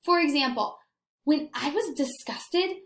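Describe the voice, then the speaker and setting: Female early-grades teacher, higher-pitched and unhurried, expressive questioning style, reverberant room. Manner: expressive, animated questions with pauses for young children. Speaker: elementary school teacher. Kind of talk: children's read-aloud lesson to camera. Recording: echoey room.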